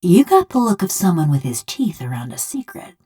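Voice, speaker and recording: Bitter rustic construct lady from a video game, lowish voice, swings up and down a lot, folksy and mocking. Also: big pitch swings, fairly quick, mellow, husky. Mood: dry, wry. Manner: folksy, drawling ungrammatical rustic speech, sardonic and taunting. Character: bitter, sardonic construct woman, rough rural killer with a grudge. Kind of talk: video game character dialogue.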